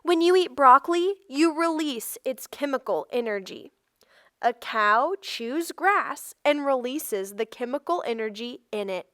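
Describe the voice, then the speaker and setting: A woman reading a non-fiction children's book aloud in a measured, instructional way, phrase by phrase. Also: high-pitched, expressive, bright, forceful tone, no echo, studio quality. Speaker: read-aloud narrator.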